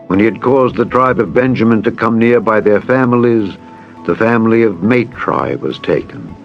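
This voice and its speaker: Male narrator for dramatized scripture, low and solemn, formal delivery over a faint music bed. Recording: dull radio-like sound, music behind.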